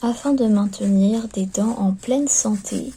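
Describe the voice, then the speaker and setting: A woman murmurs an ASMR clinic roleplay in a very dark, soft voice that often drops to a whisper. She goes slowly and gently, with hesitant pauses, like attentive staff guiding a client. The recording is lo-fi, with faint noise behind her. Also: medium pitch. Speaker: ASMR performer playing skeleton clinic staff.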